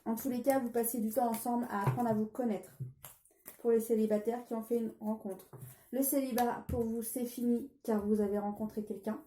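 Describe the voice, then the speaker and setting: Woman with a cold, tarot vlogger, mellow, fairly high voice, hesitant, echoey room with faint hiss. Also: fairly even intonation, brisk. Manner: hesitant, many fillers and restarts. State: has a cold. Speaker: tarot card reader. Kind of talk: casual tarot reading vlog.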